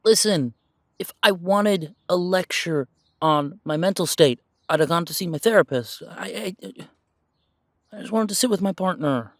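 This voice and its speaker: A man acts out a pure-blood werewolf full of self-doubt in an intimate audio drama. His voice sits a little high for a man and is recorded very dry. He talks haltingly, tripping over words, defensive and unsure of himself. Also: no echo. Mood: defensive, exasperated. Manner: hesitant, halting, stammering. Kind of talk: audio roleplay drama, one side of an intimate conversation.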